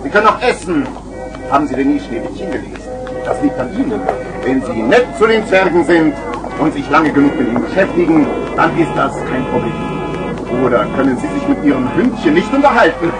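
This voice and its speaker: A man's voice: a little high, very animated, a little fast, loud music behind the voice, rough recording. A man playing a boisterous street hawker with theatrical, wheedling patter in a vintage children's radio play. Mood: persuasive. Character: boisterous male street hawker selling garden gnomes. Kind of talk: 1970s German children's radio play acting.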